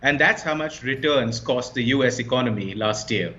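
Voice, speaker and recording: A man's voice: medium pitch, moderate pace, bright, forceful tone. A man explaining his startup as an interview guest, fluent and confident, stressing key points. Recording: slightly dull lo-fi sound.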